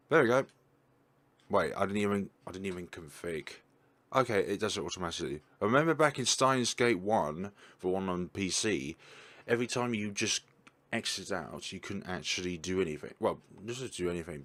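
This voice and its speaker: A young man with a low-pitched voice gives casual commentary on a game. He thinks out loud and gets excited as he goes, talking into a desk mic. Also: a little fast. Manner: chatty, thinks aloud, excitable. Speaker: gaming YouTuber. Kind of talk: casual let's-play commentary.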